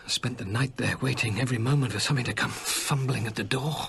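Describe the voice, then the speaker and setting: An actor playing an anxious, scholarly English gentleman in a radio drama, hesitant and stammering in his politeness. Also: medium pitch, quick pace, vocal fry, crowd noise, lightly acted. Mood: frightened.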